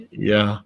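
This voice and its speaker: Joking man in loose stream banter. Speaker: stream co-host.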